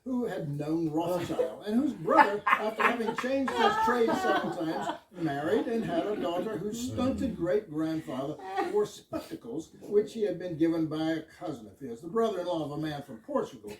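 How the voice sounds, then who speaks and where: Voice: medium pitch, very animated, moderate pace, few pauses, a loud crowd, lightly acted. A performer in amateur readers' theater playing a bashful, long-winded fire chief who tells absurd stories. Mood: rambling, earnest. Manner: long-winded run-on storytelling. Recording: echoey room, distant mic.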